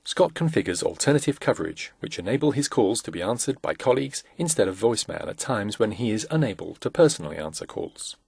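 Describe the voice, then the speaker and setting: Guy doing scripted demo voiceover, husky w some fry, really dry close sound, instructional. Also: lowish voice, very animated, fast talker, few pauses, a little mellow, no echo. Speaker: product demo narrator. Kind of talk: scripted corporate narration.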